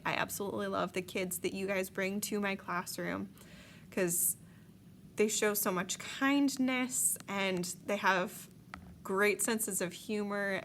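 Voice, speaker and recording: A woman's voice: medium pitch, creaky, fairly bright tone, a little hiss or hum. Chatty, enthusiastic young woman teacher. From Chicago area. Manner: enthusiastic, chatty, with frequent ums. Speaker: French teacher. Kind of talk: recorded video message to parents. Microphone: tablet device.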